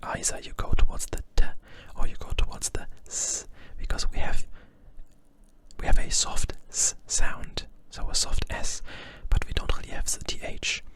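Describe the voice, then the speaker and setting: A young man whispers in a bright, sharp hush with a deliberate German accent. He explains things informally in an ASMR style, overpronouncing his consonants so that they land crisply. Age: young adult. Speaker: ASMR creator.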